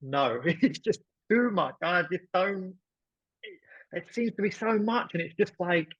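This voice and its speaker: Male podcast guest, slightly high husky voice, emphatic casual sports chat, phrases often repeated. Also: expressive, a little slow, fairly bright tone, no echo, dull audio.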